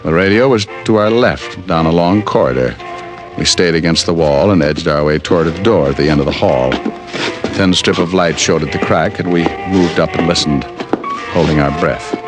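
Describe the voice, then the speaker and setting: An actor playing a tough, wry, wisecracking private detective in an old-time radio drama, with clipped hard-boiled delivery. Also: male voice, low voice, moderate pace, lightly acted. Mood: narrating, tense. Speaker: lead actor and narrator. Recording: vintage radio recording with music.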